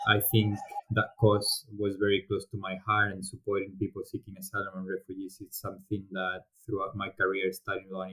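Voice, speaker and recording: A man from Spain with a Spanish accent, a podcast guest talking through his career in a thoughtful, casual way with frequent pauses and restarts. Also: fairly low pitch, fairly bright tone, rough recording. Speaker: podcast guest, volunteering program director. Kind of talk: casual interview talk.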